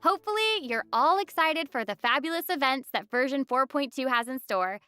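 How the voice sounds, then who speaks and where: A woman with a high, bright, forceful voice presents game news from a script. She is playful and enthusiastic, swooping widely in pitch, with light music underneath. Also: expressive, a little fast, smooth, dry recording. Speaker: presenter of a video game update program. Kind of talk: upbeat scripted co-hosted presentation. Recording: music behind the voice. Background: background music.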